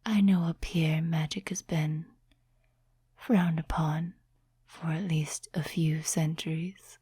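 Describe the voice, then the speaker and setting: Woman acting a breathy, whispering ancient demon temptress. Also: sits low, flat delivery, dry recording, clean studio sound, full character voice. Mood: mocking, coaxing. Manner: slow, teasing, soft-spoken whispering. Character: ancient seductive demon, mocking, amused, condescending to mortals. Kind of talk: whispered ASMR roleplay. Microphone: close, dry microphone.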